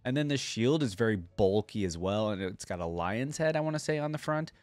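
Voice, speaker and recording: A man's voice: lowish voice, animated, brisk, darkish tone, no room sound. A man giving casual reaction commentary, thinking aloud in informal, slangy language. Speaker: reaction video host.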